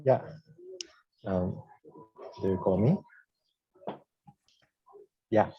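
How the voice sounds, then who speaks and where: A man's voice: deep voice, extremely slow, slightly rough, dry recording. A young student from Japan, a man, introducing himself hesitantly and informally on an online call. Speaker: student facilitator. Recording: dull lo-fi call audio.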